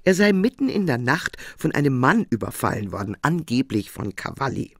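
A man's voice: medium pitch, sing-song, moderate pace, breathy edge, no echo, clean studio sound. A man acting as a matter-of-fact police colleague in a crime audio drama.

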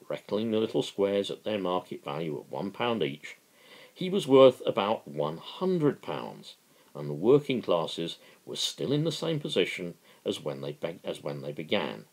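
A middle-aged man giving a dramatic reading and impassioned political commentary, emphatic and deliberate, with dramatic pauses. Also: sits low, darkish tone, rough recording. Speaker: amateur reader and political commentator. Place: small living room at home, indoors.

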